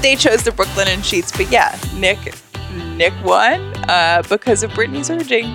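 A woman's voice: mid-range voice, animated, moderate pace, over music. A woman co-hosting a podcast, chatting casually and poking fun at herself. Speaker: podcast co-host. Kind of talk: casual chat.

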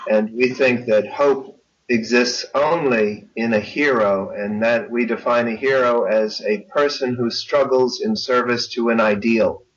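A man with a mid-pitched voice talks on a slightly muffled recording. He is an author giving interview commentary, choosing his words in a measured and formal way. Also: steady tone. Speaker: author, podcast guest. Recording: dull lo-fi audio.

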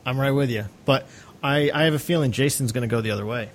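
A man's voice: medium pitch, fast talker. A man co-hosting a podcast, giving his opinions in casual conversation. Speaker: podcast co-host.

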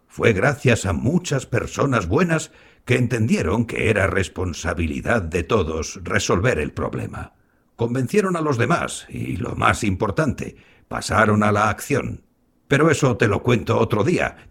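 An actor playing a kindly old grandfather scientist in an audio drama, patiently explaining things to a child with a gravelly, husky voice. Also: fairly low pitch, big pitch swings, moderate pace, full character voice. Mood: patient, explanatory. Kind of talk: scripted audio drama dialogue.

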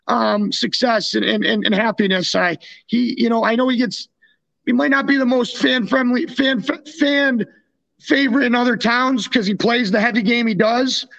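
High-pitched, creaky North American man. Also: fairly even intonation, moderate pace, fairly bright tone, lo-fi sound. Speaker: podcast co-host and former hockey player. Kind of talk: casual sports chat.

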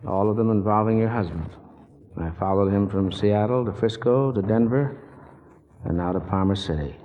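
An actor playing a weary, blunt detective in 1940s radio drama, talking in terse, hard-boiled slang. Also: male voice, lowish voice, moderate pace, close to an everyday voice. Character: weary, blunt, dogged male detective on a manhunt. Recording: dull, noisy 1940s radio recording.

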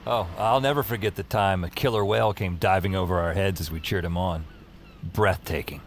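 An actor playing a wry, haunted urban-fantasy hero who narrates and talks drily in an audio drama. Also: male voice, deep voice, a little fast, a little hiss or hum. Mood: wry, wistful. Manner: dry, sardonic.

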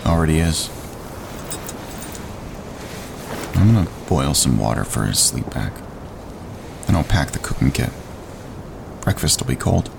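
Male expedition member, very low and creaky, level-headed, reassuring, natural audio drama style. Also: sing-song, normal speed, pauses often, full character voice. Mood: practical. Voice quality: gravelly. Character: practical, reassuring man on a wilderness expedition. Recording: noisy rumbling ambience.

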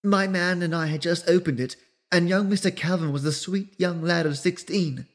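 A man reading aloud and voicing an old woman innkeeper who speaks softly and secretively. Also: high voice, normal speed, voice pushed up, natural voice. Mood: hushed. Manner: soft, hushed.

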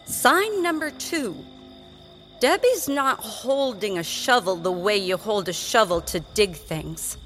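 A woman's voice: medium pitch, lively intonation, slow, a bit bright, soft background music. A woman narrating a children's drama in a scripted, suspenseful and emphatic storytelling style.

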